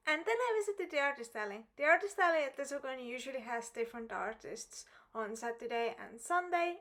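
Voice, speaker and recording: A woman's voice: on the high side, a bit bright. A young woman narrating her convention vlog as a voice-over, bubbly and piling on "really" for emphasis. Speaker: vlogger.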